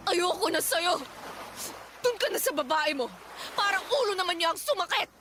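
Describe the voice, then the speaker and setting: A woman's voice: extremely high pitch, lively intonation, normal speed, sharp tone, a little hiss or hum, heavily acted. An actress playing a fed-up, wronged young wife and mother, fiery and defiant, in a Tagalog radio drama. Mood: bitter, resolute. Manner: emotional, confrontational delivery.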